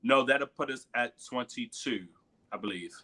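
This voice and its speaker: A man's voice: medium pitch, moderate pace, bright, forceful tone, slightly rough. A man on a casual panel chat, playful and teasing toward the others. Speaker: panelist, pageant titleholder.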